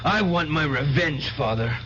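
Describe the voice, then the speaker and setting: A man's voice: a little high, expressive, a little slow, fairly bright tone. Young grieving man out for revenge, intense n insistent, old radio play, dull hissy sound. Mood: bitter, defiant. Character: grieving, vengeful young nightclub mentalist, defiant and obsessed. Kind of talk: radio drama acting.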